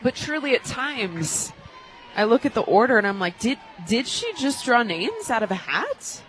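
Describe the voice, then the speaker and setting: A woman's voice: a little high, big pitch swings, normal speed, rough recording. An American woman working as a radio color commentator, explaining the game in a conversational, analytical way. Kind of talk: analytical live sports commentary. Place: stadium radio booth.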